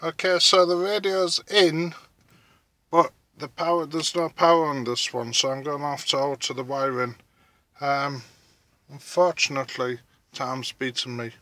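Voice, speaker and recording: A man giving casual, halting hands-on commentary as he thinks aloud through a DIY job, recorded on a handheld phone. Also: fairly high pitch, fairly even intonation, sharp tone, no echo. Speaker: vlogger narrating a DIY car radio install.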